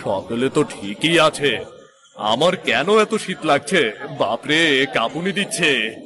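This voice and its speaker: A man playing a poor, weary middle-aged woodcutter father in a moral-story cartoon, gentle but proud, with a plaintive, folksy way of talking. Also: fairly high pitch, very animated, slow pace, crowd noise. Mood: weary, complaining.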